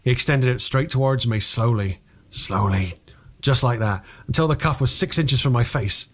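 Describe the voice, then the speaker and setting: A man voicing a frightened, insistent man recounting an uncanny encounter in an emphatic, halting way, as in a dramatised audiobook. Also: medium pitch, lightly acted. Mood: nervous.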